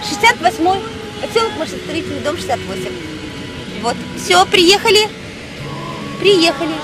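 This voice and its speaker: A woman's voice: very high voice, moderate pace, rough recording. A woman from Russia, an actress, reminiscing expressively about her childhood home in a documentary interview, speaking outdoors in a residential neighborhood. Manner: nostalgic and expressive, with emphatic exclamations. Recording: background music under the voice.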